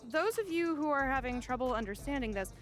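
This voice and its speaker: A brash female publicist in a video game cutscene. Her voice sits somewhat high, and she talks in an assertive, sardonic way. Soft music plays underneath. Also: expressive, a little fast. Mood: pushy. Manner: assertive, sardonic, laughs often.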